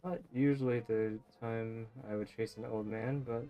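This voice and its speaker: A man's voice: mid-range voice, steady tone, moderate pace, warm tone, poor audio. A young man casually commenting while he plays a game, rambling loosely with restarts and laughing often. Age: young adult. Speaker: game streamer. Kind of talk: casual commentary over gameplay. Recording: game music in background.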